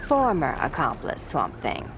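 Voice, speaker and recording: A woman's voice: low-pitched, wildly swooping pitch, a little slow, exaggerated voice. An actress playing a sly, playful cat burglar who taunts her opponent in a video game. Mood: teasing. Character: sly, teasing female cat burglar villain. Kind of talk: video game character acting. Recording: loud sirens and game noise behind.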